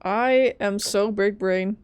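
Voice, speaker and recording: A woman's voice: mid-range voice, slow, darkish tone, clear, resonant tone. A woman game streamer giving casual commentary, informally reacting aloud as she plays. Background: game music.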